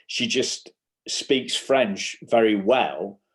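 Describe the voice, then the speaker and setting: A man in his fifties with a Yorkshire accent talks in a somewhat low voice over a video call. He explains things in short bursts, restarting his sentences and leaning on fillers as he goes. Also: lively intonation, very slow. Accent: Yorkshire English. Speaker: guest, educational publisher and school workshop speaker. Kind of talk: conversational interview.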